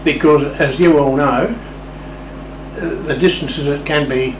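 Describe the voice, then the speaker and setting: A man's voice: a little high, fairly even intonation, a little slow. An Australian man being interviewed, chatting and telling stories in a discursive, unscripted way. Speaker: interview guest. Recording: roomy, distant mic, loud room hum.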